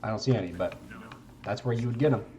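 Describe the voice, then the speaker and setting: A young man with a congested voice, giving relaxed, rambling gameplay commentary and swearing casually. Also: fairly low pitch, quick pace, a little hiss or hum. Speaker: game streamer.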